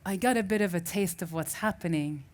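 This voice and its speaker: Low-voiced Arabic-native woman telling a story. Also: a little fast, darkish tone. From Syria. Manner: reflective, searching for words with restarts. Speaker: festival speaker. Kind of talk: personal storytelling talk.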